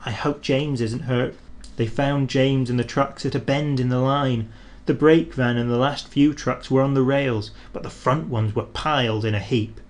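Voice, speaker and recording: A man reading a children's storybook aloud in a gentle, expressive narrating voice. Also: medium pitch.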